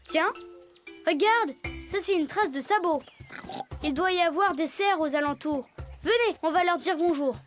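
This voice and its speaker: A woman's voice: very high-pitched, moderate pace, long pauses, soft background music. A woman voicing a sensible, friendly young animal explorer in a French cartoon dub. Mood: cheerful.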